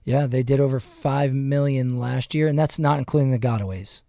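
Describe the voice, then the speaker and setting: A man's voice: medium pitch, steady tone, normal speed, creaky, mellow, no room sound, studio quality. A man telling stories animatedly in casual podcast chat, often saying "bro". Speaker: podcast guest. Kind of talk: casual storytelling.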